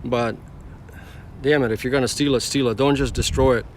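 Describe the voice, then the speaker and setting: A man from the former Yugoslavia talks to his livestream chat from inside a car, rambling and circling back over his own words. His voice sits at an ordinary middle pitch, with faint traffic and street noise behind him. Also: fairly even intonation, a little fast. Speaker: rideshare driver livestreaming. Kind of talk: casual livestream chat with viewers.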